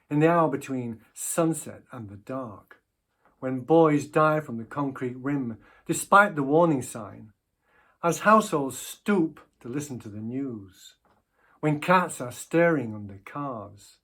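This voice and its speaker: Man, poetry recitation, fairly high pitch, very slow pace, measured phrasing. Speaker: poetry reader.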